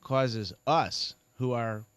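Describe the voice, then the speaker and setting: A man's voice: medium pitch, extremely slow, a bit bright, clean tone, no echo. Male co-host, 40s, banter and long rambling points, dry room. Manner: joking, builds long run-on points. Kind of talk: casual talk radio banter. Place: radio studio.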